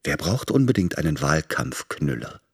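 A self-serving politician in a radio drama, with a low voice that swings widely. He argues his case in calculating rhetorical questions. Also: big pitch swings, moderate pace, husky, heavily acted. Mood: self-justifying. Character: self-serving male politician justifying himself.

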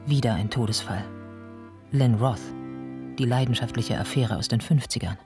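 Sober female narrator over music. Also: rumbling low. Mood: elegiac. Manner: measured, descriptive, sombre. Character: sober, observant female narrator of a writer's life. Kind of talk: literary radio play narration. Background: prominent instrumental music.

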